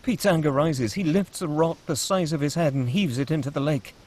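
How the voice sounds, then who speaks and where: A man with a husky voice reading scripted screenplay action as the narrator of a table read. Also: on the high side, fairly quick, darkish tone, a little hiss or hum.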